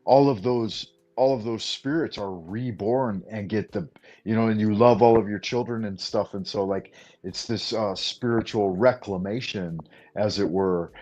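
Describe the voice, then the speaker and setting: A man's voice: on the low side, measured pace, darkish tone, highs cut off. A man hosting a casual spiritual talk show, rambling and stumbling over his words as he tells stories. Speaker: host.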